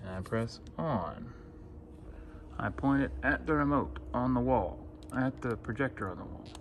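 A man's voice: medium pitch, expressive, unhurried. A man giving a casual hands-on walkthrough as an instructor, in short plain step-by-step instructions, recorded on a handheld phone in an indoor booth. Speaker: instructor demonstrating equipment. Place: auditorium control booth, indoors. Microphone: handheld phone filming the equipment. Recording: noisy handheld phone recording with room ambience.

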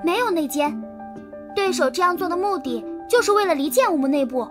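A woman's voice: extremely high pitch, lively intonation, a little fast. A woman voicing a proud, clever schoolgirl who confidently explains things in a children's audio drama. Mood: explaining. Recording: children's music underneath.

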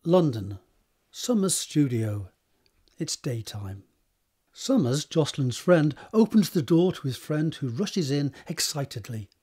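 Husky higher-pitched male narrator. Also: big pitch swings, measured pace, dark tone. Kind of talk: scripted narration of stage directions.

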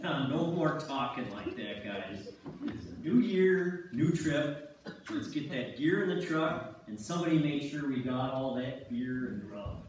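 A man's voice: mid-range voice, lively intonation, a little slow, lightly acted. Man acting cautious folksy fisherman, echoey and distant. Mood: peacemaking. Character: Bob, cautious folksy ice fisherman running the checklist. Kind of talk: amateur reader's theater, read from script. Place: on stage in a church, audience seated. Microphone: far camera. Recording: echoey church hall, distant camera, faint noise.

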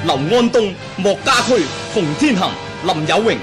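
A man's voice: sits high, expressive, moderate pace, over music. A man with a Hong Kong Cantonese accent, reading scripted credits as a radio announcer.

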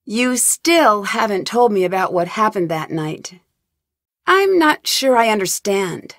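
A woman's voice: mid-range voice, very animated, a little slow, fairly bright tone, no echo, clean studio sound. A woman voicing a young woman detective in game voice lines, asking earnest, inquisitive questions in a polite tone. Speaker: voice actor. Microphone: studio mic, dry.

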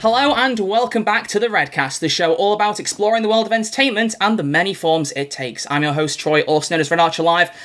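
A man's voice: on the high side, a bit bright. A young man hosting a podcast interview from home, chatty, effusive and rambling as he talks to a guest. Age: young adult. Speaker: podcast host. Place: small home room, video call.

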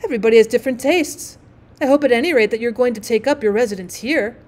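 Woman acting out domestic female duck, storybook, mild-tempered, homely, chatty, rather high-pitched. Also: fast, a little mellow, lightly acted. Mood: mild, practical.

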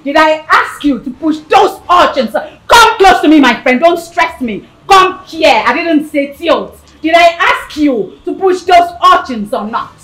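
A woman's voice: sits high, expressive, measured pace, few pauses, fairly bright tone, poor audio. A woman with a Nigerian accent playing a haughty, spiteful young princess in a screen drama, scolding and ordering people about with contempt. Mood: screaming, indignant.

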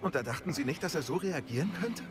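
A man voicing a calm young wizard hero. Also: on the high side, fast talker, lightly acted. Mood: inquisitive. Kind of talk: German game dub. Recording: game audio with music behind.

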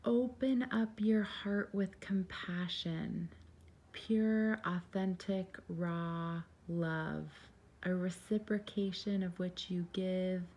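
A woman leading a guided meditation in a soft, soothing, gentle and unhurried voice, breathy at times. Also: fairly low pitch.

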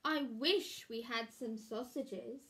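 A woman voicing an earnest, hapless poor woodcutter in a storybook, with expressive, plaintive delivery. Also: very high voice, slow pace, exaggerated voice. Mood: hungry, plaintive.

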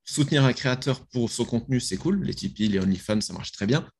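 Young man from France, casual slangy chat, headset mic, small bedroom, middle-pitched voice. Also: expressive, fairly quick, a little mellow, a little hiss or hum. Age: young adult. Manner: casual, slangy, many restarts. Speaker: app founder guest. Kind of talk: casual podcast interview. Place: small bedroom with gaming chair.

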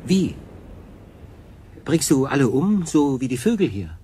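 Probing, mid-pitched stranded sailor. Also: male voice, big pitch swings, a little slow, dark tone, slight background noise. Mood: probing, subdued. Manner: questioning, reflective. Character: stranded solo sailor, curious and probing man. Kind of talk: radio drama acting.

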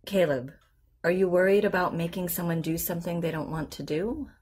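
Calm therapist lady in an audio drama, lowish husky voice, measured and patient. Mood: calm, firm. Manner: measured, careful questioning. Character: composed, patient woman therapist, probing and professional. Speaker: actor playing a therapist. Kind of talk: naturalistic audio drama dialogue.

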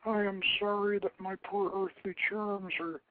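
A man voicing a sorrowful, gentle ancient queen in a storybook legend, plaintive and formal. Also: sits high, level tone, unhurried, voice pushed up. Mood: sad, murmuring. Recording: phone-like radio line with background noise.

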